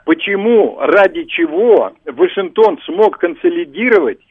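A man's voice: high-pitched, expressive, measured pace, husky, no echo. Emphatic man on a dull phone line. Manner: emphatic, lecturing. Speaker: caller. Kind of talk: phone-in commentary.

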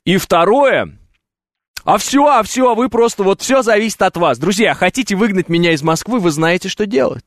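A man's voice: sits high, expressive, moderate pace, no echo, clean studio sound. Wry Russian-speaking man chatting to viewers. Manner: wry, joking, hesitant with fillers. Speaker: streamer reading chat. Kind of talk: casual live stream chat. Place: at home, on camera.

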